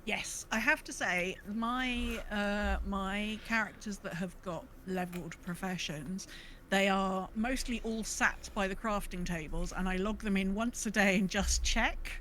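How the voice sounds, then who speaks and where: Bright-voiced, enthusiastic woman podcast co-host. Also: medium pitch, a little slow, a little hiss or hum. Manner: enthusiastic, chatty. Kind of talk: casual chat about online gaming.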